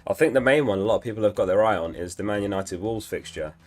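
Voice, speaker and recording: A man hosting a casual live stream, a little hoarse from losing his voice, chatting and reading out viewer messages. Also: lowish voice, fast, faint music behind. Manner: reads out chat messages, chatty and upbeat. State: slightly hoarse, lost voice. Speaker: livestream host.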